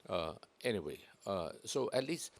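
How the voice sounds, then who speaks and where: A very deep-voiced man hosting a live talk. He jokes at his own expense and speaks with lots of hesitant ums and uhs. His tone is relaxed and chatty. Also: normal speed. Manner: jovial, self-deprecating, hesitant with many uhs. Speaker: host of the event. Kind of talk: casual event chat.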